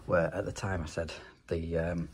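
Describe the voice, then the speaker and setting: A man's voice: sits low, flat delivery, normal speed, very noisy. A man in his thirties, a plumber, narrating matter-of-factly as he works on a job in a customer's home. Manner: matter-of-fact, talks while working. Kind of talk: working vlog narration.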